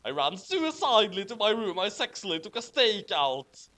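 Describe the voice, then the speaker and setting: High-voiced man with a bright edge. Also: very animated, moderate pace. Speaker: host of fanfiction reading commentary. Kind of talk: comedic dramatic reading with casual commentary.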